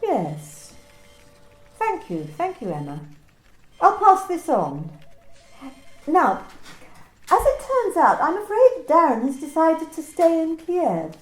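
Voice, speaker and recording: An actress playing a smooth, coolly polite corporate manager who talks in bland, bureaucratic phrases. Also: female voice, extremely high pitch, huge pitch swings, slow, pauses often, echoey room, faint music behind, exaggerated voice, rough recording. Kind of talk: amateur radio play reading.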